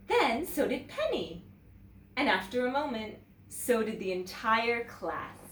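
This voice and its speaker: A woman teacher reading a children's story aloud in an expressive, animated way, pausing to check in with listeners. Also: fairly high pitch. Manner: expressive, pauses to ask listeners questions. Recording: roomy echo, distant mic, faint noise.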